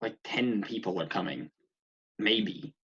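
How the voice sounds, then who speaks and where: A man's voice: on the low side, slow, a bit bright, husky, full character voice. A man playing a tired, sarcastic young man who complains about his housemates in a comedy table read. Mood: wry. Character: sleep-deprived, exasperated young man with housemate troubles. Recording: muffled video call audio.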